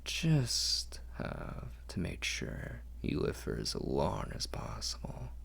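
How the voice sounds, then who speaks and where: Man voicing a slow, very deep, possessive vampire lord. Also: huge pitch swings, vocal fry, fairly bright tone, breathy edge, full character voice. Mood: devoted. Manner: slow, murmuring, drifting into whispers, formal and courtly. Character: possessive, doting male vampire lord, ancient and hungry. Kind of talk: ASMR roleplay, intimate dramatic monologue.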